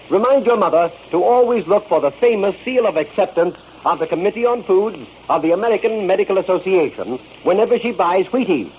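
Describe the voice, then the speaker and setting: A man's voice: high voice, a little mellow, low-quality recording. A man announcing a folksy, persuasive 1930s radio advertisement aimed at children. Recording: old radio recording, faint noise.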